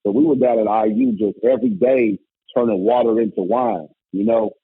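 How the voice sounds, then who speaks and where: Loud animated man on a tinny line. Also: mid-range voice, moderate pace, vocal fry, slightly rough, no echo. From Indiana. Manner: animated, emphatic storytelling. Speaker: guest, former college basketball coach turned musician. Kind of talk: casual conversation. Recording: tinny phone-like line, dry.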